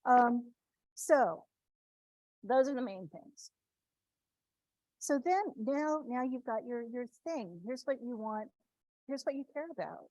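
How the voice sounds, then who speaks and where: A woman's voice: a little high, animated, slow, pauses often, a little mellow, no room sound, rough recording. A woman from the Midwest giving an informal talk to students, speaking conversationally with frequent restarts and tag questions.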